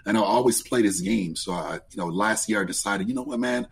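Raspy low-voiced man telling stories. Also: fairly quick. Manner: relaxed storytelling, lively pitch jumps when excited. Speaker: guest, streamer. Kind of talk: casual interview chat.

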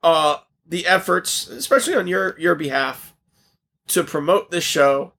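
A man co-hosting a casual podcast while losing his voice, coughing now and then and projecting loudly with many restarts. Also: on the high side, measured pace. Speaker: podcast co-host.

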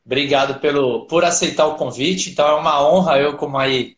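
A man hosting a live interview with an upbeat, eager delivery and a slightly high voice. His voice carries the echo of a bare, roomy space. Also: a little fast, a bit bright. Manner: upbeat, enthusiastic. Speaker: live-stream host. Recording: echoey room.

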